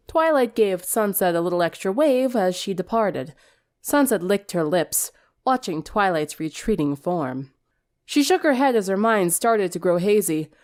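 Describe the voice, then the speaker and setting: Woman narrator for fan fiction, lively varied intonation, storytelling delivery. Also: medium pitch.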